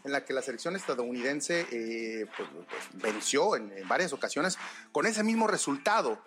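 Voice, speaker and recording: A man's voice: medium pitch, very animated, normal speed, over music. A man with a Mexican accent hosting a casual sports news chat, hesitant and full of fillers. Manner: hesitant, many fillers and restarts. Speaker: show host.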